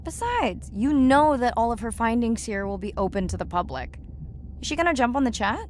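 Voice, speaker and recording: A woman playing a warm, earnest and playful young diver and ocean researcher in a naturalistic video game performance. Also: a little high, big pitch swings, a little fast, slight background noise. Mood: mildly defensive.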